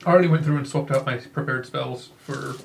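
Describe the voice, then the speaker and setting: A man's voice: medium pitch, fairly quick. A man playing a tabletop game, chatting casually with the group. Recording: clear background noise with clicks.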